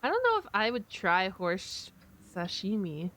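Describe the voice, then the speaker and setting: A woman's voice: medium pitch, wildly swooping pitch, unhurried, a bit bright. A woman streamer chatting casually as she reacts to a video, in an informal, amused, exclamatory way.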